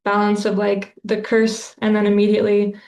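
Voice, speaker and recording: A woman's voice: medium pitch, monotone, moderate pace, darkish tone, reverberant. A woman author answering interview questions in a casual, thoughtful way, with lots of fillers and restarts.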